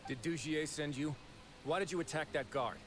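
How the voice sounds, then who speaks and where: A man playing a composed, stern gentleman of authority in a video game, with a formal, measured manner. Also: fairly high pitch, noisy background, lightly acted. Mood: commanding.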